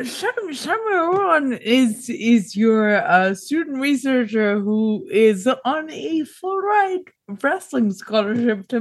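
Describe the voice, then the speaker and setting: A woman with a high voice introduces her character for a tabletop roleplaying game. She talks slowly, gushing and a little hesitant. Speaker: player.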